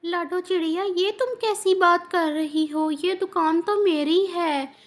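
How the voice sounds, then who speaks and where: A woman voicing a kind, hardworking female bird who sells bangles in a cartoon story, speaking gently. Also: extremely high pitch, steady tone, measured pace. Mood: upset. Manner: gentle, pleading.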